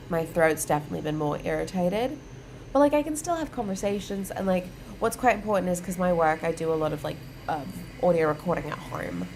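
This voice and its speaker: Woman livestream host, lower voice, stuffy and congested, upbeat rambling chat with lots of "um" and "like". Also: darkish tone, slight background noise. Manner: chatty, filler-heavy, upbeat. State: congested, under the weather.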